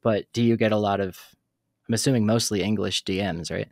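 Low gravelly-voiced podcast host. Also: male voice, fairly even intonation, brisk, dry recording, clean studio sound. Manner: chatty, rambles into his own stories. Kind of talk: casual interview chat. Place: small dark treated room. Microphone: studio mic on arm, headphones.

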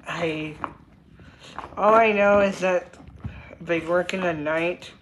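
A woman chatting casually and unscripted about toys, in a halting, repetitive way that trails off. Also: on the low side, fairly even intonation. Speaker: toy reviewer. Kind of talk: casual unscripted toy review chat. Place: indoor room with hard tiled floor. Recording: echoey room, background noise, clicks.

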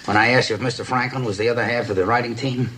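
A man's voice: medium pitch, fairly even intonation, rapid-fire, sharp tone, close to an everyday voice. An actor playing a folksy, humble police lieutenant in a TV drama, rambling and hesitant as he asks his questions. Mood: puzzled, probing. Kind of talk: television detective drama acting. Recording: noisy old TV soundtrack.

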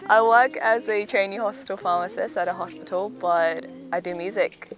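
A woman's voice: medium pitch, fairly even intonation, normal speed, soft background music. A young woman raised in Hong Kong with a slightly American accent, talking casually and reflectively about her childhood in a garden interview. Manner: chatty, reflective, laughs easily. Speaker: interviewee, singer-songwriter. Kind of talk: relaxed documentary interview. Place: outdoors in a back garden.

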